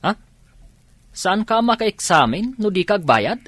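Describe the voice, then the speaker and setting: An actor with an Ilocano Filipino accent playing a working man, an expressive buddy who is needled into lending, in a radio drama. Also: sits high, measured pace, dry recording, full character voice. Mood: surprised, reluctant.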